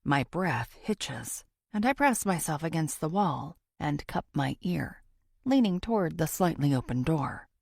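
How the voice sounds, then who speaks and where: A woman narrates a scripted thriller with dramatic expression. Her voice sits a little low and moves through a very lively range. The sound is dry, with hardly any room to it. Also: unhurried, gravelly, breathy edge, dry recording. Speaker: audiobook narrator.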